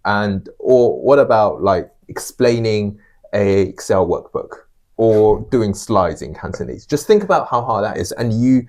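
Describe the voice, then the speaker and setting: A man's voice: fairly low pitch, animated, unhurried, a little mellow. A man co-hosting a podcast in casual discussion, making points with repetition and rhetorical questions. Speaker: podcast co-host.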